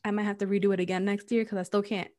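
A woman co-hosting a podcast in casual chat, animated, laughing often and slipping into Spanish phrases. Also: mid-range voice, racing. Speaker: podcast co-host.

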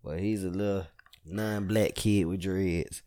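A man's voice: deep voice, fairly even intonation, unhurried, mellow, no echo. Laughing man in dry podcast banter. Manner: laughs a lot, loose slangy banter. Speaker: podcast co-host. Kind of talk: casual chat.

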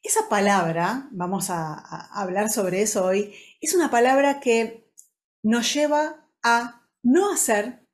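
A woman hosting a podcast, explaining things conversationally and repeating words for emphasis. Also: medium pitch. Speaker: podcast host.

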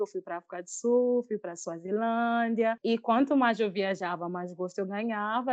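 A woman's voice: medium pitch, a little mellow. A woman of twenty-six from Mozambique with a Mozambican accent, telling personal stories in a casual, chatty way as a podcast guest. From Mozambique, raised in Maputo. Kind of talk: casual personal storytelling in an interview. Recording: slightly dull lo-fi audio.

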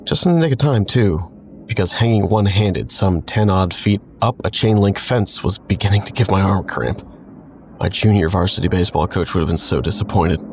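A man's voice: low voice, brisk, slight background noise. A man playing a wry, self-deprecating storyteller in an audio drama, narrating his reckless youth with sardonic digressions. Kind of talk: scripted first-person audio drama narration.

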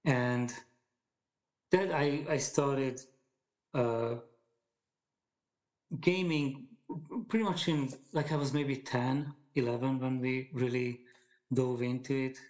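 A man's voice: medium pitch, fairly even intonation, slow, long pauses, a little mellow, poor audio. Hesitant man, thoughtful. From Hungary. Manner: thoughtful, hesitant, many fillers and restarts. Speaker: guest. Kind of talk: casual conversation.

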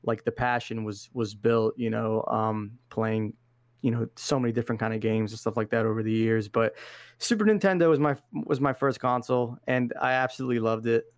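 A young man from Iraq chatting as a podcast guest, easygoing and slangy, dropping "man" and "dude" into most sentences. His voice is mid-pitched and recorded close and dry. He talks casually with frequent fillers. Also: a little fast, dry recording. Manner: laid-back, slangy, says "man" and "dude" often. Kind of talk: casual interview chat.

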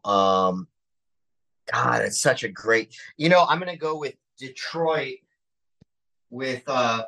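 A man's voice: lowish voice, a bit bright, no room sound, rough recording. A man chatting casually in an interview as a touring musician, with slangy, informal phrasing. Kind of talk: casual chat.

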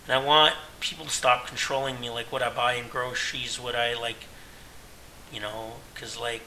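A man's voice: medium pitch, level tone, moderate pace, pushed and bright, a little hiss or hum, poor audio. A Canadian man in his late thirties, talking earnestly and at length in a rambling, self-correcting personal monologue as a podcast host. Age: 30s.

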